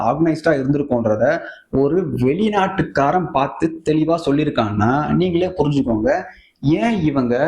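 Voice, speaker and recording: A man's voice: on the high side, darkish tone, echoey room. A man with a Tamil Nadu accent giving scornful, colloquial, opinionated political commentary straight to viewers in a combative way.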